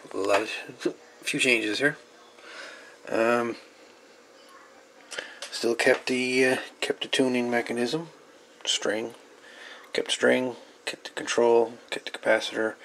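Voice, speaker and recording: A man casually presenting a hands-on electronics demonstration, unscripted and hesitant, with frequent pauses. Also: mid-range voice, gravelly, a bit bright, rough recording. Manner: hesitant, unscripted, pausing often. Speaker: hobbyist presenter. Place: small cluttered home workshop. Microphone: camera microphone on the workbench. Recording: camera audio with audible background noise.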